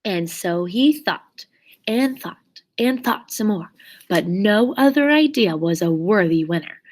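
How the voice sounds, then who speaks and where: A woman reading a children's story aloud expressively, with theatrical, drawn-out emphasis on key words. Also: medium pitch, lively intonation, darkish tone. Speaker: storybook reader.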